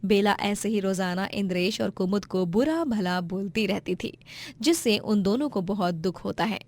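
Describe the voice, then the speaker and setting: A woman's voice: medium pitch, normal speed, a little mellow. A woman reading scripted moral-story narration as the storyteller.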